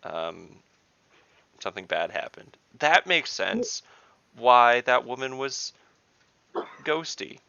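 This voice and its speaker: A man's voice: mid-range voice, big pitch swings, takes it slowly, vocal fry, long pauses, sharp tone, clear, resonant tone, dry recording, dull audio. A man chatting casually as a player in an online tabletop game, with sardonic, sweary banter.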